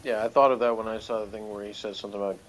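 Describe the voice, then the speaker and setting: A man giving his opinions in a casual review chat, talking in a halting, conversational way. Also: on the low side, steady tone, slight background noise, poor audio.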